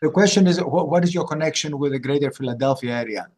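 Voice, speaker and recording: A man on a panel in an online meeting, explaining things in deliberate, broken phrases. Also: fairly high pitch, darkish tone. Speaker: scholarship panelist. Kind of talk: explanatory Q&A answers. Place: virtual meeting on a video call.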